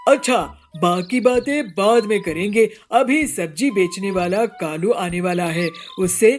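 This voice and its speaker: A stingy, scheming male bird husband from a cartoon, as a woman voices him. He talks slowly and in a bossy, wheedling, self-satisfied way. His voice sits at a middle pitch for a woman. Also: soft background music, close to an everyday voice. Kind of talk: cartoon voice acting.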